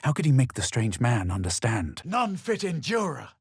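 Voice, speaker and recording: An actor playing a haughty, self-assured adventurer in a video game, with a superior, measured, dramatic delivery. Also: male voice, medium pitch, wildly swooping pitch, very noisy. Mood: forceful incantation. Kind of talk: video game character voice lines.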